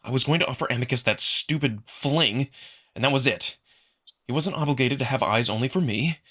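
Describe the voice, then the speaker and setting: Male narrator, sharp bright tone, mid pitch, expressive first-person storytelling for a visual novel. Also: brisk. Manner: expressive, acting out the narrator's feelings. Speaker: narrator, amateur voice performer.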